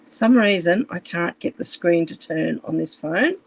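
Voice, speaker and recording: A woman with a croaky voice, chatting casually and apologetically on a home live stream while fixing her phone camera. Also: on the low side, animated, moderate pace, low-quality recording. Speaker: live stream craft demonstrator. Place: room at home.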